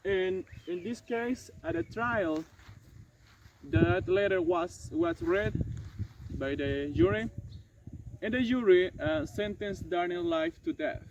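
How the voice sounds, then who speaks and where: A man playing a regretful French doctor and former prisoner in an amateur dramatized monologue, speaking haltingly and correcting himself. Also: sits high. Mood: solemn recounting. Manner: halting, hesitant, self-correcting delivery. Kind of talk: amateur dramatized monologue retelling past events. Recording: dull lo-fi sound, faint noise.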